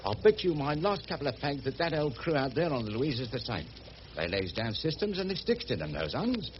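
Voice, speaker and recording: An actor as a lively, rough boatman, muffled recording. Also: mid-range voice, a little fast, close to an everyday voice. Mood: confiding, explaining. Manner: colloquial, rambling, folksy working-class speech. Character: rough, good-hearted working-class boatman, chatty and affectionate. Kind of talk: radio drama acting. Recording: muffled radio drama recording with background noise.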